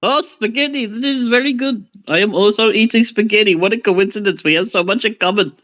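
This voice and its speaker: Smarmy foreign internet scammer, played by a man, high and piercing, stilted and over-polite. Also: fairly quick, a bit bright. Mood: smarmy, faux friendly. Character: smarmy foreign male internet romance scammer begging for money.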